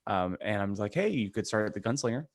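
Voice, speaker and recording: A man's voice: on the low side, lively intonation, brisk, no echo, studio quality. A man co-hosting a podcast, chatting casually and informally. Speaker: podcast co-host. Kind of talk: casual chat.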